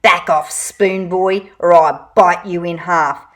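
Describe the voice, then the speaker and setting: Woman doing a gruff clothespin shark toy. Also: on the low side, fairly even intonation, slow pace, pitched below the performer's own voice. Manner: gruff, threatening. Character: tough little clothespin shark, gruff bully.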